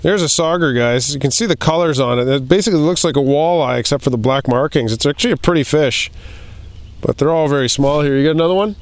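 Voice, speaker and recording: A man's voice: fairly high pitch, quick pace, no echo. A man in his thirties hosting a fishing vlog with casual, playful, exclamatory commentary, recorded outdoors on a small boat.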